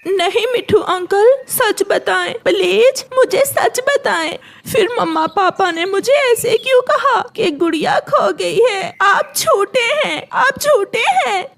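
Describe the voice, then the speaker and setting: A woman's voice: very high voice, lively intonation, takes it slowly, creaky, few pauses, heavily acted. A woman voicing an innocent young boy bird in a cartoon, a devoted brother who pleads plaintively. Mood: anguished.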